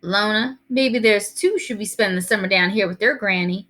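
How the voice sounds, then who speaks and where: A woman's voice: medium pitch, normal speed, pushed and bright. A woman voicing a small, feisty, loving old grandmother with a Southern US accent and a folksy, commanding manner in a storybook reading. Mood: teasing.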